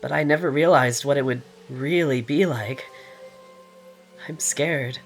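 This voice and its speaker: A man's voice: mid-range voice, unhurried, soft background music, close to an everyday voice. A man playing a frightened, wistful young boy in an audio drama, hesitant and earnest. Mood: wistful, scared.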